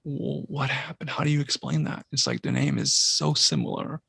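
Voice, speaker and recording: A man's voice: medium pitch, steady tone, normal speed, vocal fry, pushed and bright, breathy edge, dry recording, studio quality. A man co-hosting a podcast, musing at length about synchronicities in casual talk. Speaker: podcast co-host.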